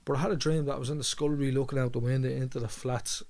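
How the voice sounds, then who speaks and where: He is an Irish man with a Dublin accent and an average-pitched voice. He tells his story as casual chat, stumbling back over words and repeating them. Swearing comes freely as he goes. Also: fairly even intonation, rapid-fire, a little mellow. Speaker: podcast speaker sharing his own story.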